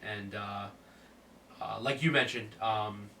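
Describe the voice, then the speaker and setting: A man's voice: on the low side, fairly even intonation, takes it slowly, creaky, bright, forceful tone, distant mic, a little hiss or hum. A man hosting a casual chat, sounding stuffed up from a cold, with a laid-back manner. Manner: laid-back, lots of fillers and laughs. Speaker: podcast host.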